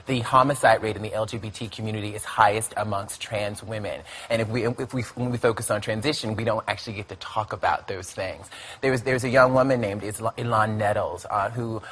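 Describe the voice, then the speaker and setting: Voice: medium pitch, fairly even intonation, a little fast, a bit bright, breathy edge. A speaker talking earnestly as an advocate in an interview, often restarting phrases.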